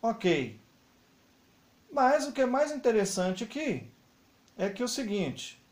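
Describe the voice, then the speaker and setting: A man's voice: very high voice, very animated, takes it slowly. A man giving news commentary, explaining as he points through web pages. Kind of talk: screen-recorded news commentary. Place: voice-over over screen recording.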